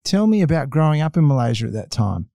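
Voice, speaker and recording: A man's voice: fairly high pitch, big pitch swings, fast talker, vocal fry, dark tone, dry recording, clean studio sound. A man hosting a podcast interview, asking questions and giving short, encouraging affirmations. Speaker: podcast host.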